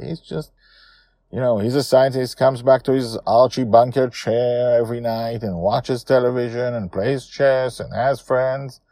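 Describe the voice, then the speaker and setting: A man's voice: medium pitch, dry recording. A man hosting a story podcast, talking informally and emphatically about his own serial. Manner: animated, emphatic, self-correcting.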